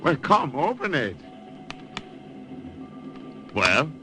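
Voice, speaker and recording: Smooth soft-spoken field marshal, courtly but menacing, old radio drama, voice swoops a lot. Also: male voice, on the high side, sing-song, very slow, over music, heavily acted. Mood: coaxing. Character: suave, polite, quietly menacing German field marshal. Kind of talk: 1950s radio acting.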